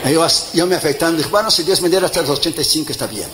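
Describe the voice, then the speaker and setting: A man in his fifties, an evangelist preaching a sermon, tired, emphatic, mixing jokes with loud rising exhortation. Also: a little high, expressive, very fast, over loud music. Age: 50s. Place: church in front of a congregation. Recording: echoey church with crowd and noise.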